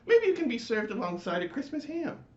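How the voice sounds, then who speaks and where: A man voicing a smug, haughty string of Christmas lights from a storybook, loudly. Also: very high-pitched, wildly swooping pitch, fairly quick, voice pushed up, heavily acted. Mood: smug, puzzled. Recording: dull, roomy, faintly noisy home recording.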